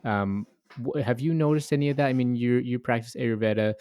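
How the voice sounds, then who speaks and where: A man's voice: mid-range voice, fairly even intonation, brisk, a little mellow, smooth. A man hosting a podcast, speaking in an informal, conversational way. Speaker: podcast host. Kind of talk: podcast intro and casual interview chat.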